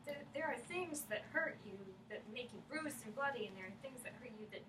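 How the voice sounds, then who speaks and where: Actress doing blunt exasperated young woman, high voice that swoops around, folksy, roomy distant recording. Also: animated, fairly quick. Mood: baffled, gentle. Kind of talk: staged reading of a play. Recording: echoey room, distant mic, faint noise.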